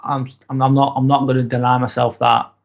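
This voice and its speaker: A man's voice: mid-range voice, little pitch movement, a little fast, creaky. A man from East Lancashire with a Lancashire accent, chatting casually on a livestream vlog and reading out viewer comments. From East Lancashire, England. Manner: chatty and rambling, with frequent ums and restarts. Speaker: livestream vlogger.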